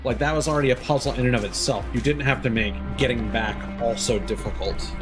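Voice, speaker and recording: A man's voice: mid-range voice, fast, over music. A man doing casual live gameplay commentary, rambling as he thinks aloud. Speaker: streamer.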